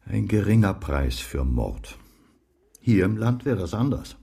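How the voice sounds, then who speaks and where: A seasoned private investigator in a radio crime drama. His voice is dark, husky and full of creak. He talks bluntly and takes his time. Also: on the low side, big pitch swings, a little slow, exaggerated voice. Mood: sober, wry.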